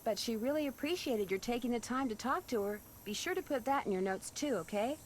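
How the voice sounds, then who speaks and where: A woman playing a polite, inquisitive young American detective in a video game, asking courteous, clearly put questions. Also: fairly high pitch, expressive, a little fast, a little hiss or hum, natural voice. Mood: polite, curious.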